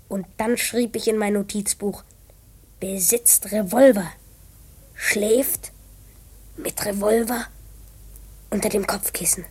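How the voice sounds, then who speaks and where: A woman's voice: a little high, darkish tone. A woman playing an earnest, proud twelve-year-old boy detective in a children's radio play, with a dramatic delivery. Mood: confiding narration.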